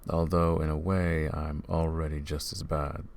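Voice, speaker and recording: A man voicing a brooding male vampire who hunts vampires, soft-spoken and calm in an ASMR roleplay. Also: very deep voice, measured pace, slight background noise. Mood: weary.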